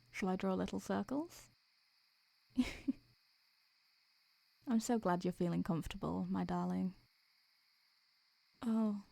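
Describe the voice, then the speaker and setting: A woman playing an ancient, doting succubus in an intimate roleplay audio, speaking softly and gently in an affectionate, unhurried way. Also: mid-range voice, creaky, dry recording. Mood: tender, soothing, then concerned, caring.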